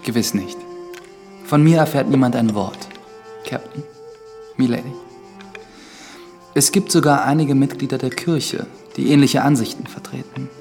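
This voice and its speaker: An actor playing an earnest, pious priest with doubts in a German radio drama, measured and reflective, with a creaky voice. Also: male voice, medium pitch, darkish tone, faint music behind, lightly acted. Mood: firm, measured.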